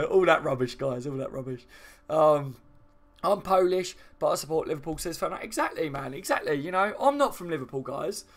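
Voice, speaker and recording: Southern English man, higher-pitched, chatting casually. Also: sharp tone. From southern England. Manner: informal, matey, rambling, sometimes sweary. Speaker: football fan channel live stream host. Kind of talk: casual opinionated live stream chat.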